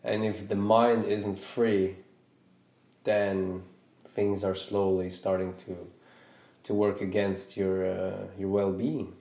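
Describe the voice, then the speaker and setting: A man from Norway in his thirties, hosting a podcast in a reflective, casual way, pausing and restarting his sentences as he thinks aloud. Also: sits low, level tone, dark tone, roomy sound. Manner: thoughtful, hesitant with many restarts. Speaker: podcast host.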